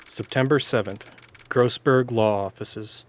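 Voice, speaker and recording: A man's voice: mid-range voice, steady tone, measured pace, slight background noise, lightly acted. A man voicing a young defense lawyer from a video game, narrating what he observes in a wry, matter-of-fact way. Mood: musing, matter-of-fact.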